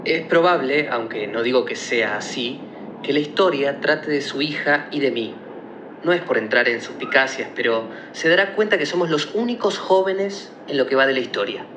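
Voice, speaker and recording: A man's voice: medium pitch, echoey room, background noise, lightly acted. A man playing a polite, hesitant, self-admiring young student in comic radio theatre. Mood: polite, suggestive. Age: young adult. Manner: formal, courteous, halting.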